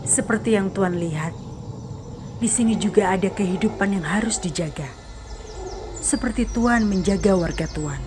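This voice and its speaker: An actress playing a mysterious forest spirit woman who speaks calmly and politely. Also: medium pitch, normal speed, long pauses, natural voice. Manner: calm, polite, quietly persuasive. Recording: background noise and ambience.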